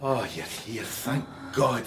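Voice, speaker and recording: An actor playing an anxious, restless veteran police detective in a radio drama. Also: male voice, medium pitch, lively intonation, slow pace, noisy background. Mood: frantic.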